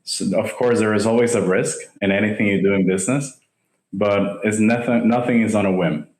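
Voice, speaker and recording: A man from Rico, a podcast guest speaking in a measured, businesslike way. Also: on the low side, steady tone, darkish tone. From Puerto Rico. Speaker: guest, home builder. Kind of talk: podcast interview. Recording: echoey room.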